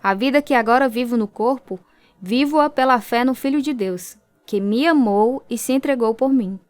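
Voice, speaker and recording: A woman's voice: fairly high pitch, moderate pace, no echo, lightly acted. An actress with a Brazilian accent playing a devout, earnest young convert telling her story in a radio drama. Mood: earnest, firm. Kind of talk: radio drama narration and dialogue.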